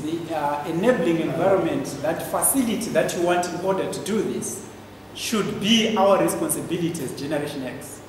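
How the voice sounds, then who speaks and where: A man speaking off the cuff at a meeting, exhorting with rhetorical questions. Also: fairly high pitch. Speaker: panel speaker. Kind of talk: impromptu public speech. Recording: echoey hall, faint noise.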